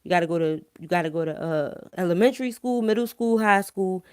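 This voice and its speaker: A woman's voice: fairly low pitch, a little fast, darkish tone, smooth, no echo. Philly lady livestreaming her opinions, real blunt, cusses a lot, slangy, close dry sound. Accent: Philadelphia. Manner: blunt, emphatic, slangy, freely swearing; repeatedly checks listeners follow with tag questions. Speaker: online live-stream host. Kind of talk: informal opinionated talk to viewers.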